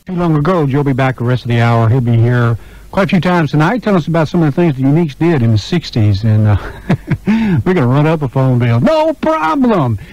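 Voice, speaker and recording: A male radio DJ with a fairly high voice chats in an upbeat, informal way. The studio sound is dry and close, captured on a slightly dull, lo-fi broadcast tape. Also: sing-song, a little fast, darkish tone, no echo. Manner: chatty, informal announcing with phone-in giveaways. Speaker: radio DJ and interviewer. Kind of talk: upbeat oldies radio show patter. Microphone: close broadcast microphone.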